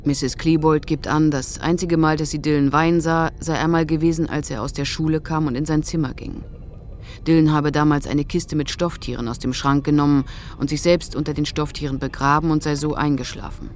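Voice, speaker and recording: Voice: mid-range voice, fairly even intonation, fairly quick, slight background noise, natural voice. A performer playing a sober official in a radio drama, reading witness statements in a formal, matter-of-fact way.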